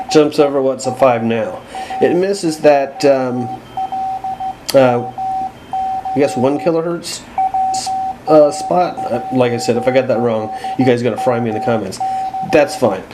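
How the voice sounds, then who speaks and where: Guy doing an off the cuff gadget demo, kinda unsure and self-deprecating, mid pitch, noisy radio static behind him. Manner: self-deprecating, hesitant, thinking aloud. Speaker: radio hobbyist presenting a gear demonstration. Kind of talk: casual unscripted product walkthrough.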